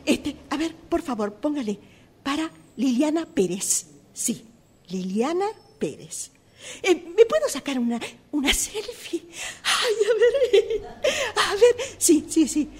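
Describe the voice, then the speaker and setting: Radio play role: starstruck female fan, acted by a woman, very high animated voice, breathless and pleading. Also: takes it slowly, full character voice. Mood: eager, flustered. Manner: breathless pleading with repeated words. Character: gushing, flustered female fan begging a writer's autograph. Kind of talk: radio theatre workshop monologue.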